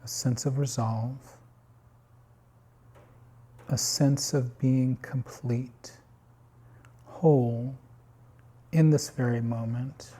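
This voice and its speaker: A man guiding a meditation in calm, unhurried short phrases with long pauses, his voice slightly husky. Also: mid-range voice. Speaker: meditation guide. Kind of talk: guided body scan meditation.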